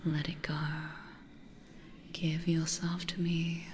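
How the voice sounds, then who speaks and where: A woman voicing a possessive, teasing female vampire in an ASMR roleplay, coaxing and domineering in breathy whispers close to the microphone. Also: on the low side, flat delivery, takes it slowly, darkish tone, slight background noise. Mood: commanding, hypnotic. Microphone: close studio condenser microphone.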